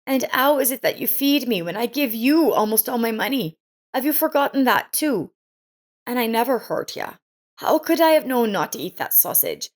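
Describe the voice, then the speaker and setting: A woman's voice: on the high side, animated, moderate pace, voice pushed up, close to an everyday voice. A woman voicing a hurt elderly mother-in-law in a story, pleading and reproachful.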